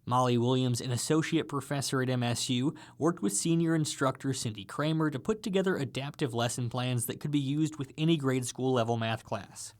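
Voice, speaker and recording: A man's voice: mid-range voice, fairly quick, hardly any pauses. A man narrating a scripted radio feature as a reporter.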